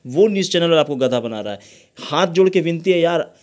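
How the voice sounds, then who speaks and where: A man's voice: a little high, animated, fairly quick, a little mellow. A man asking pointed questions in an interview, pushing his points and checking for agreement. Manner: pressing, rhetorical, keeps asking "theek hai?". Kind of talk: interview chat.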